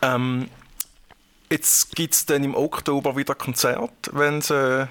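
Bright-voiced interviewer, man. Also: medium pitch, fairly even intonation, slow. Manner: probing, conversational. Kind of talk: interview questions.

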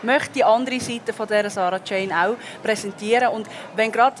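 A woman's voice: medium pitch, normal speed, slight background noise. A woman in her thirties with a Swiss German accent, a singer answering interview questions in a chatty way with many fillers. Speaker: guest singer.

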